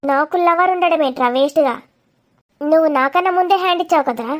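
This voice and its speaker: A woman's voice: sits high, quick pace, natural voice. A woman voicing a feisty, sarcastic young woman in a confrontational cartoon comedy. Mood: scornful. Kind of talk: dramatic cartoon comedy dialogue.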